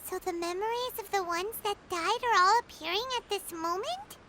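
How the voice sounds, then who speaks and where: A woman voicing a tiny, chirpy fairy companion in a video game, with a high, squeaky, sing-song voice. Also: normal speed, a little hiss or hum. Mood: puzzled, sad. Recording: music loud behind.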